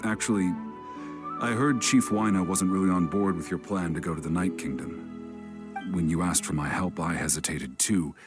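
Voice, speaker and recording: An actor playing a grieving, regretful warrior in a video game, with a measured, weary and reflective delivery. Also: male voice, deep voice, natural voice. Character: grieving, regretful adult male warrior, sombre and steadfast.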